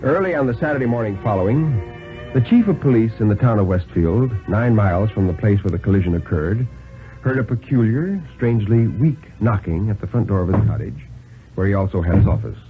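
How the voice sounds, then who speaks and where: Animated low-voiced male radio storyteller, muffled recording. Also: over music. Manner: measured, theatrical. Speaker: story narrator. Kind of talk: dramatic reading of thriller stories on 1950s radio.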